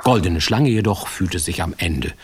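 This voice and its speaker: A man narrating a fairy-tale radio play as an expressive, folksy storyteller. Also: deep voice, moderate pace, noisy background.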